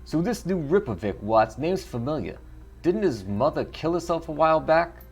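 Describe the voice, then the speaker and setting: A man's voice: on the high side, big pitch swings, moderate pace, breathy edge, faint music behind, pitched above the performer's own voice, heavily acted. A man voicing a brash, bullying, crooked ork homicide detective in a video game. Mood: gruff probing. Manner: blustering, bullying.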